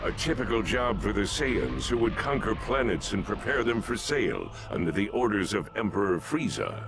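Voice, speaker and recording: An actor narrating a sci-fi fantasy game saga in a grave, deliberate, dramatic manner. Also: male voice, lowish voice, very animated, gravelly.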